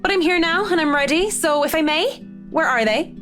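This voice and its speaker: A man's voice: very high voice, lively intonation, fairly quick, sharp tone, faint music behind, voice pushed up, heavily acted. A man voicing a polite but impatient young woman who talks in a formal, clipped way. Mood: prim.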